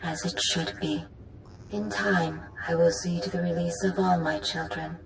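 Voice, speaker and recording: Ancient machine mother, echoing and creaky. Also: steady tone. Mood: serene, triumphant. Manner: measured, maternal, stately. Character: ancient godlike machine mother, tender, proud, quietly vengeful. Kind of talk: dramatic video game acting. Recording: roomy echo, faint rumbling noise.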